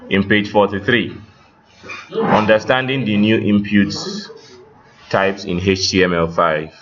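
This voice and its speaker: A man giving a screen-share coding tutorial as a teacher, explaining step by step in a halting, instructional way. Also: fairly low pitch. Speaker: computer teacher. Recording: muffled with background noise.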